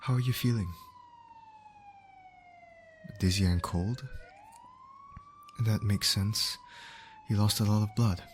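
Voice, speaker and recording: Low-voiced caring male medic, played by a man. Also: slow pace, pauses often, a little hiss or hum. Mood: soothing, concerned. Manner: reassuring, talking directly to an injured patient. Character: male field medic, calm, caring, urgent under pressure. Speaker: medic in a roleplay audio. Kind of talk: ASMR roleplay voice acting.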